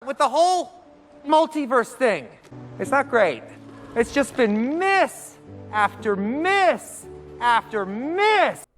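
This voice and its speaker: A woman's voice: high voice, very animated, slow, soft background music. A woman speaking in a casual, critical way.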